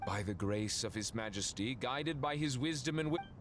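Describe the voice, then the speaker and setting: Man playing a haughty, animated royal saint. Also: mid-range voice, moderate pace, background noise, lightly acted. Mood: ceremonial. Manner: grandiose, formal, insinuating. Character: sly, haughty royal saint debating in council. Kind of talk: theatrical video game acting. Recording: faint game sound behind voice.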